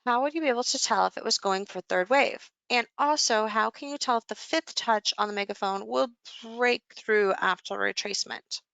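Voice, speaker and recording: A woman's voice: a little high, moderate pace, creaky, hardly any pauses, fairly bright tone, smooth, dry recording, low-quality recording. A woman coaching a live session, explaining things informally and conversationally as she thinks aloud over charts.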